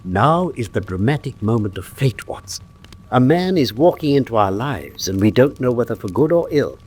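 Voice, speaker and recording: An actor with an English accent playing a confident, teasing gentleman detective in a classic radio drama, speaking precisely and with theatrical condescension. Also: mid-range voice, big pitch swings, normal speed, close to an everyday voice. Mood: keen, dramatic.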